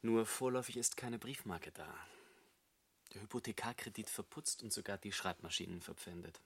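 A man's voice: fairly low pitch, moderate pace, a bit bright, breathy edge. An actor playing an embittered, despairing jobless man of thirty in a German radio drama, brooding and sarcastic. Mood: bitter, sarcastic. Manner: bitterly sarcastic, brooding, emphatic.

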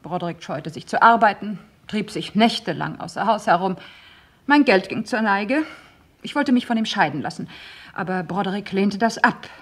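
An earnest divorced woman in a crime radio play. Her voice is slightly husky at a middle pitch, and she speaks with measured, regretful feeling that can sink into a hush. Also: lively intonation. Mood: subdued, reflective. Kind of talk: German radio crime drama acting.

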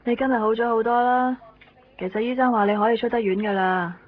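An actress playing a brisk, firm female hospital nurse in a radio drama. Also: fairly high pitch, steady tone, slight background noise, close to an everyday voice. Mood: reassuring. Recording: tinny band-limited 1990s radio.

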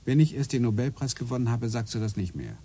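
A man's voice: mid-range voice, sing-song, fast talker, warm tone, a little hiss or hum. An actor playing a brooding man obsessed with physics, musing and explaining as he talks, in a radio play. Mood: thoughtful. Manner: musing, explaining, drifts into whispers. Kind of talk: radio drama acting.

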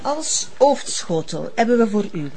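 A woman's voice: fairly high pitch, sing-song, unhurried, a little mellow. A woman acting as a polite, formal Flemish waitress reciting dishes in a television drama. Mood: courteous.

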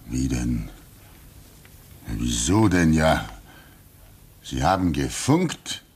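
A man's voice: extremely low pitch, big pitch swings, takes it slowly, slightly rough, full character voice. A fatherly old station hand. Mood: uneasy. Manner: gentle, chuckling, soothing. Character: older man at a remote polar station, fatherly and calm. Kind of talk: 1950s radio drama acting.

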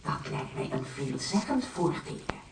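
A self-righteous housewife making a solemn public appeal in a radio comedy. Her delivery is declamatory and hugely animated, heard in an echoey space, a bit distant with faint noise. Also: sits low, unhurried, crowd noise. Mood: solemnly righteous. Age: 40s. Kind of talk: Dutch radio comedy acting, televised speech. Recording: echoey, distant, slightly noisy.

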